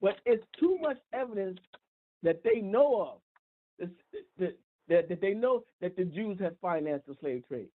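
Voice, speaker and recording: A man's voice: high-pitched, expressive. A man on a tinny phone line in an impassioned group discussion, emphatic, repeating and restarting his words. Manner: repetitive, halting restarts, emphatic. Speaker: call-in talk show panelist.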